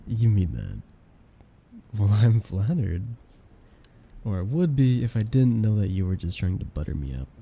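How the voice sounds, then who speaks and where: A young man playing a playful, affectionate best friend in a casual ASMR roleplay, speaking in a soft, teasing, expressive way. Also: lowish voice, gravelly, husky, heavily acted. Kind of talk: ASMR roleplay, casual intimate chat with listener.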